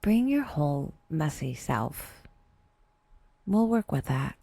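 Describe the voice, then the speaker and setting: A woman narrating a scripted guided meditation with wry, dry humor and a soft, breathy delivery, leaving unhurried pauses. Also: sits low, sing-song, no echo.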